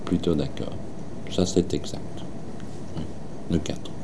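Slow, deep-voiced Walloon-accented man. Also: fairly even intonation, noisy background. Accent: Walloon Belgian French. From Wallonia, Belgium. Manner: hesitant, frequent filler pauses, measured. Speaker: interviewee. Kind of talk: survey interview answers.

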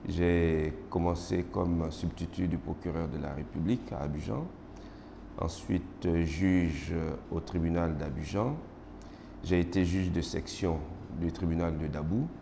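A middle-aged man from Côte d'Ivoire with an Ivorian French accent, whose first language is Baoulé, answering formal interview questions in a measured way with many hesitations. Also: deep voice, fairly even intonation, gravelly. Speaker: interviewee, magistrate. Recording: noisy roomy recording with long reverb.

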